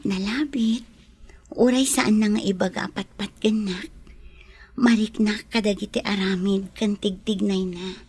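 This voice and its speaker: Frail, gentle young lady employer in radio drama. Husky, breathy delivery. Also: mid-range voice, fairly even intonation, measured pace. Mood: wistful. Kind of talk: Filipino radio drama acting.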